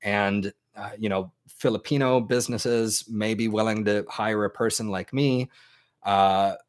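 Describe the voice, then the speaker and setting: A man's voice: lowish voice, steady tone, unhurried. An American man, a native English speaker, hosting an informal advice talk to a live chat, with plenty of ums and restarts. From United States. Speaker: host.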